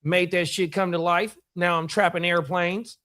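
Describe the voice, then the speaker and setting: A man with a fairly high voice telling animated, slangy stories on a casual podcast. He keeps dropping quick affirmations as he goes. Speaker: podcast co-host.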